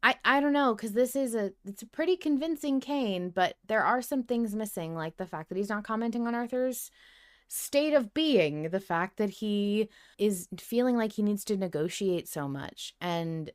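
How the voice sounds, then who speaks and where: A woman's voice: mid-range voice, lively intonation, moderate pace. A woman co-hosting a podcast, talking casually and emphatically as she thinks theories through aloud. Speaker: podcast co-host. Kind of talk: casual fan theorizing discussion.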